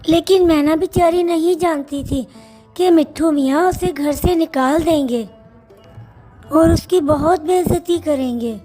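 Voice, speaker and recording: A woman's voice: sits high, a little slow, mellow, faint music behind. A woman narrating a scripted children's story.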